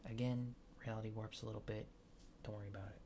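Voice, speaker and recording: A man speaking softly in an ASMR exam roleplay, murmuring hesitant short instructions and sometimes dropping into a near-whisper. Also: on the low side, little pitch movement, quick pace, slight background noise. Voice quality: soft, hushed, breathy near-whisper at times. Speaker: ASMR performer playing an examiner.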